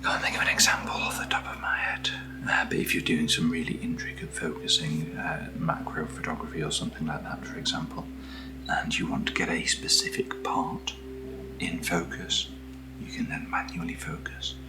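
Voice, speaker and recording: A British man speaking in a soft, breathy near-whisper as he hosts a casual ASMR video, gentle and unhurried, close to the microphone. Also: a bass voice, completely flat delivery, music in the background, low-quality recording. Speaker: ASMR video host reviewing camera gear. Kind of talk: soft-spoken ASMR, casual unboxing chat.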